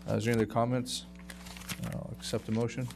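A man's voice: mid-range voice, level tone, fast, vocal fry. A middle-aged American man chairing a council meeting in a formal, businesslike way, partly reading aloud. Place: council chamber. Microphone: dais microphone. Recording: loud hum and noise.

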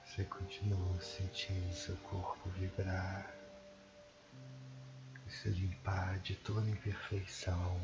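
A man with a Brazilian accent leads a guided sleep meditation in a soothing, hushed manner with long pauses. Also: deep voice, highs cut off. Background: prominent meditation music.

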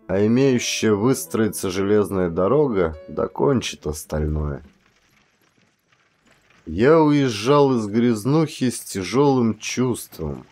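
Low-voiced male audiobook narrator over music. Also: a little mellow. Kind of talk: scripted audiobook narration and channel address. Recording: music under the voice.

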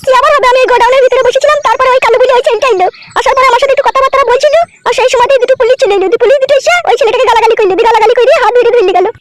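Squeaky, brash scheming village woman done by a woman. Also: steady tone, very fast. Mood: gossipy. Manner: gossipy, brash. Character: brash, scheming village women chatting conspiratorially. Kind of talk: amateur comedy cartoon dialogue.

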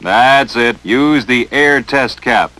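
A man's voice: medium pitch, takes it slowly, bright, forceful tone, no room sound. An American man narrating a 1940s instructional film in a stern, emphatic, dramatic coaching manner, on a vintage film soundtrack.

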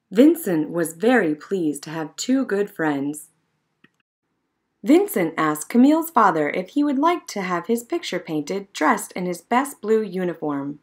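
A woman narrating a children's picture book in an expressive, measured storytelling manner. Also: lowish voice. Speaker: storybook reader.

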